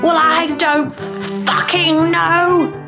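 A woman's voice: medium pitch, moderate pace, natural voice. An irate, contemptuous man from next door, as acted by a female narrator. He sneers and blusters his way through an audiobook tale, with music in the recording. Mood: screaming rage. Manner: contemptuous, sneering, prone to screaming. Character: angry, sneering, foul-mouthed male neighbour.

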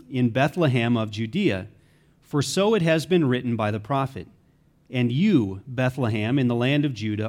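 A man reading a Bible narrative aloud from a written text. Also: medium pitch. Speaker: scripture reader.

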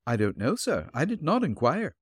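A man voicing an elderly, refined and gentle landlady in a raised, polite voice. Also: huge pitch swings, moderate pace, breathy edge, dry recording, studio quality, full character voice. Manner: polite, flustered, high put-on voice. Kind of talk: audiobook character reading.

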